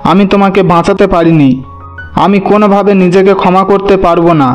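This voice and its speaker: A grieving young man voiced by a male actor speaks in a high voice, emphatic and full of reproach. It is cartoon melodrama, with music running underneath. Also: fairly even intonation, fairly quick, muffled recording, close to an everyday voice. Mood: anguished. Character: grieving young husband, righteous son confronting his parents.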